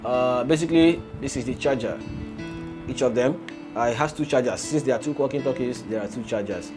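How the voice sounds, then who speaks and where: A man's voice: mid-range voice, brisk. Man explaining gadgets over guitar music. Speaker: gadget reviewer and video host. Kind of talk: casual product review, explaining and demonstrating. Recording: music playing behind the voice.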